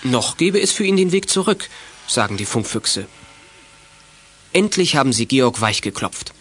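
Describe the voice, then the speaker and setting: A man's voice: mid-range voice, sing-song, measured pace, fairly bright tone, breathy edge. A man narrating a scripted German children's audio drama in the present tense as storyteller.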